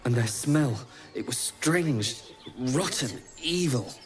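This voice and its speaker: An actor voicing a grave game warrior who speaks slowly and solemnly. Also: male voice, mid-range voice, lively intonation, soft background music. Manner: slow, solemn.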